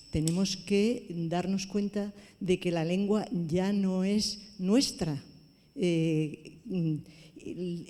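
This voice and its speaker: A woman giving a measured, deliberate opinion in a reading group discussion, with frequent hesitations. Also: fairly low pitch, dark tone, in a large hall. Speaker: book club member. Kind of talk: book club discussion.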